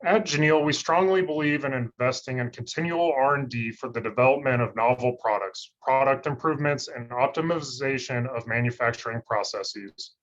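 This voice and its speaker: A man scientist giving a steady, monotone scripted webinar presentation over a video call. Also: medium pitch, a little fast, hardly any pauses. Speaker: industry research scientist presenting. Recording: slightly dull lo-fi call audio.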